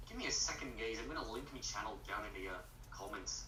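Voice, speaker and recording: A man's voice: lowish voice, lively intonation, noisy background. Young guy chatting on a call, echoey room, keeps restarting his sentences. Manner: rambling, halting, restarts phrases. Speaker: guest on a friend's live stream. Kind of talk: casual chat on a voice call. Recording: echoey room, far from the mic.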